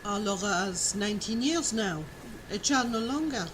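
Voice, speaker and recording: An actress playing an earnest, superstitious French governess with formal speech and a French accent in a radio drama. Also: female voice, mid-range voice, lively intonation, moderate pace, lightly acted. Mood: wistful, concerned. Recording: clear noise, night ambience behind.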